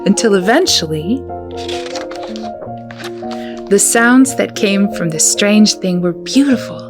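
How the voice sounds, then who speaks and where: A woman hosting a children's storytime, reading a picture book aloud in a storytelling style. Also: mid-range voice.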